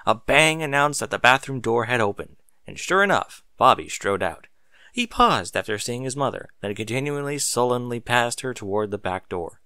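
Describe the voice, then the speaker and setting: A man reading scripted fiction as an audiobook narrator, with expressive storytelling. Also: mid-range voice, normal speed, no echo, studio quality.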